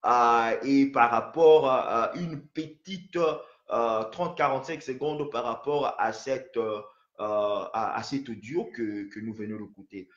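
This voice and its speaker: A man's voice: medium pitch, slow pace. A man hosting a phone interview, talking with many hesitations and restarts. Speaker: host. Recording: roomy, dull lo-fi sound.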